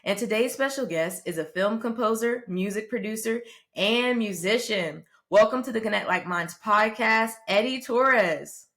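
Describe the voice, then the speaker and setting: A woman's voice: fairly high pitch, normal speed, hardly any pauses, fairly bright tone. A woman hosting an interview podcast, asking chatty questions with some restarts. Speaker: podcast host.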